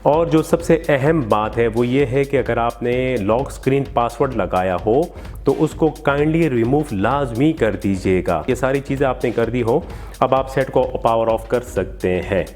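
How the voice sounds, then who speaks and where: A man's voice: medium pitch, measured pace, a little mellow. A man hosting an instructional phone tutorial, explaining steps to viewers in a polite, formal way. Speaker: tech tutorial host. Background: background music.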